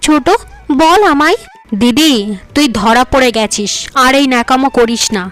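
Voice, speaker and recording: A woman's voice: high-pitched, moderate pace, a bit bright. A woman voicing a scheming, jealous young wife in a cartoon who accuses her older sister sharply. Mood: taunting.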